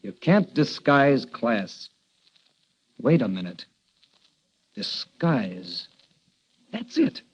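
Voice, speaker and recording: An actor playing an earnest young rookie cop and secret crime fighter, brisk and confident, in old radio drama style. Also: male voice, mid-range voice, big pitch swings, breathy edge, exaggerated voice. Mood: intrigued, urgent. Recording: dull, noisy old radio recording.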